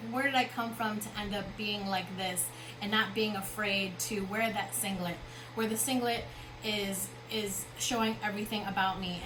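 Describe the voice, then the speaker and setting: A woman from the Philippines, founder of a company, giving a motivational talk at an expo in a conversational way, often checking in with the audience. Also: mid-range voice. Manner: conversational, with frequent fillers and check-ins. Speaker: founder and keynote speaker. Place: expo hall. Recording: noisy expo hall.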